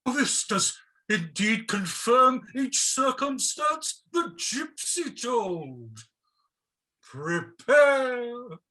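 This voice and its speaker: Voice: mid-range voice, very animated, extremely slow, sharp tone, heavily acted. A performer playing a pompous Justice in a mock tragedy, declaiming grandly as a long-lost parent. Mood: grandly revelatory. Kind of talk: mock-heroic stage tragedy reading.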